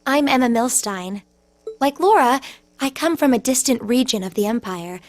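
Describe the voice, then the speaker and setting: Woman as a high-voiced, gentle scholarly girl. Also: lively intonation, moderate pace, close to an everyday voice. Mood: polite, modest. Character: polite, modest, gentle teenage girl scholar. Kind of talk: video game voice acting.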